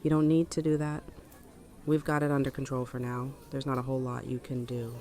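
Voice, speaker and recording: A woman's voice: rumbling low, little pitch movement, fairly quick, warm tone. A woman playing a blunt, practical, seasoned survivor in an audio drama. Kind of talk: fan audio drama acting.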